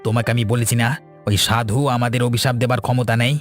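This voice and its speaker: A man's voice: medium pitch, level tone, fast talker, soft background music, natural voice. A man playing an irritable, bossy young village son in a cartoon, scolding and exasperated. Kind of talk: Bengali cartoon voice acting.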